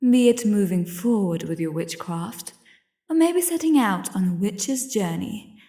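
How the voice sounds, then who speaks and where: A woman playing a proud, formal witch academy headmistress giving a measured audio drama speech, with echo. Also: medium pitch, animated, dark tone. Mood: proud, ceremonial. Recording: echoey, reverberant hall sound.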